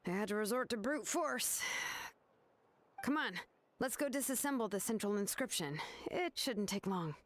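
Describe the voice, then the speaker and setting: An actress voicing a laid-back young craftswoman who explains things in a relaxed, matter-of-fact way. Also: medium pitch, normal speed, a little hiss or hum, close to an everyday voice. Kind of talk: video game voice acting.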